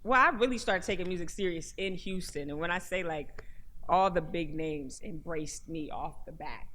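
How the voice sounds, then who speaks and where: A woman from California talking as a guest in a casual interview, animated and often laughing between remarks. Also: fairly low pitch, moderate pace, slight background noise. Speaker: guest, rapper and actress.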